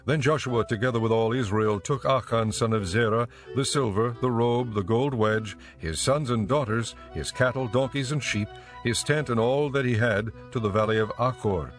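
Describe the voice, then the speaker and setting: A man narrating scripture as a scripted story, in a measured storytelling manner. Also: medium pitch, soft background music.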